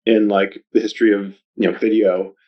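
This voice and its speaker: Brief: male podcast guest, lowish voice, loose and rambling, upbeat, says "like" a lot. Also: flat delivery, brisk, dull audio. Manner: rambling, heavy use of "like", upbeat. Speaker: podcast guest, video advertising researcher. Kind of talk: casual interview chat.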